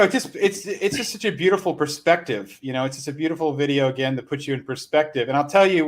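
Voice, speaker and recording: A man with a voice pitched a little above the usual male range. He talks as a podcast host in loose, conversational speech. His manner is self-deprecating and joking. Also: fairly bright tone. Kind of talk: casual chat.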